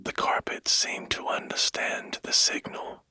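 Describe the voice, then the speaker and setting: A man narrating a bedtime story in a hushed, gentle and soothing manner, taking his time. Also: a little slow, dry recording, whispered. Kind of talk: slow, whispered bedtime storytelling. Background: music.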